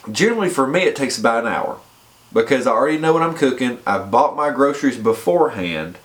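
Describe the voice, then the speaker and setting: A man with a head cold, sounding congested, giving casual fitness advice in a chatty way and often checking in with the listener. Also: mid-range voice. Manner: chatty, repeats points and checks in with "okay?". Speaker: fitness vlogger. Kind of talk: casual advice talk to camera.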